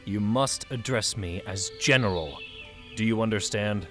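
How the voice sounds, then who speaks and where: Motion comic: male actor as stern old-world general, very deliberate pace, commanding, faint music underneath. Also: lowish voice, a bit bright. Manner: deliberate, authoritative. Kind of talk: fan motion comic acting.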